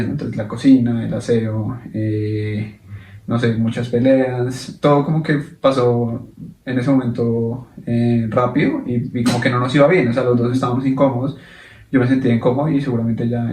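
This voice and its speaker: Young guy, kinda monotone, hesitant with lots of ehs, sounds far off in an echoey room. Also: mid-range voice. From Colombia. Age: young adult. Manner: hesitant, halting with many fillers. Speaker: podcast co-host. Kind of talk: casual conversation.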